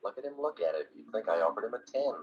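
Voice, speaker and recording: A man's voice: on the low side, lively intonation, racing, slightly rough. A man playing an impatient, sardonic city grumbler who mutters sarcastic asides in a 1940s radio drama. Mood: scornful, impatient. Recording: muffled old 1940s radio recording.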